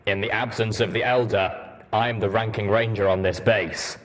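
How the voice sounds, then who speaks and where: Stern military stallion in armor for a story reading, played by a man: low, authoritative, clipped and smooth. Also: steady tone, moderate pace, lightly acted. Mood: stern, commanding. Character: commanding armored pony soldier, stern ranking male officer.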